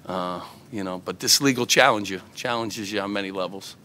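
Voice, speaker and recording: A middle-aged man answering reporters after a game, his voice a bit low in pitch but bright and forceful. He talks earnestly, with plenty of fillers and restarts, into microphones on a table, and a faint hum of room noise sits under him. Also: a little fast. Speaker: head basketball coach. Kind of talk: postgame press conference answers. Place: press conference room, seated at a table.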